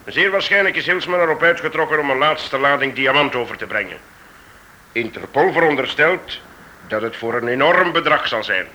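Genial investigator, very bright-voiced man. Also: mid-range voice, animated, normal speed. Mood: grave, urgent. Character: genial, authoritative male British knighted investigator. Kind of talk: radio drama acting. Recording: noisy old radio play recording.

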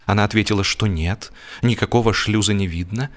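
A man narrating a humorous literary story as an audiobook reader, with expressive delivery. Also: lowish voice, brisk. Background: music behind the voice.

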